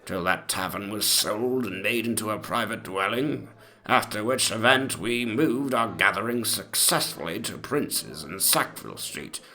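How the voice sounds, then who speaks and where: A man playing a pompous, very old eighteenth-century gentleman in a dramatic reading of his mock memoir, with mannered, antiquated diction. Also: fairly low pitch, a little slow. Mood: genteel reminiscing. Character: pompous, ancient 18th-century English gentleman memoirist, fond and gossipy.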